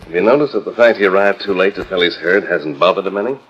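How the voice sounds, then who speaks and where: Heroic serious american cowboy hero, deep and quick, husky, muffled old radio. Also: male voice, a bit bright. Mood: serious, watchful. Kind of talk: 1940s radio western acting. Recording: muffled 1940s radio transcription.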